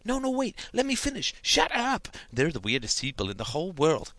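A man's voice: a little high, huge pitch swings, fairly quick, pushed and bright, no echo, pitched above the performer's own voice, heavily acted. A man voicing a gossipy, giggly teenage girl chatting in slangy audiobook dialogue. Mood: gossipy, excited. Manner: chatty, slangy, girlish delivery.

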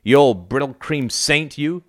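A man's voice: medium pitch, unhurried, no room sound, clean studio sound, pitched above the performer's own voice, lightly acted. A man voicing a sullen, sarcastic teenage son in an audiobook story, snarling and aggrieved. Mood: bitter, sarcastic.

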